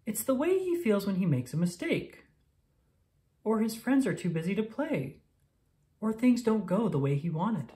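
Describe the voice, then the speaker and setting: A woman reading a children's picture book aloud in an expressive, deliberate storytelling manner for young listeners. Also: mid-range voice. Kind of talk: scripted read-aloud narration of a picture book.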